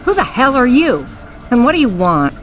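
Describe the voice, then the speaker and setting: A woman's voice: on the high side, very animated, moderate pace. A woman acting a bossy, wary store owner, curt and irritable, in an audio drama. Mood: angry.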